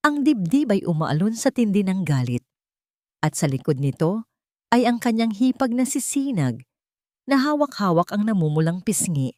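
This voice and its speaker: A woman's voice: medium pitch, very animated, normal speed, a little mellow, dry recording, studio quality. A woman reading a scripted dramatic story as its storyteller.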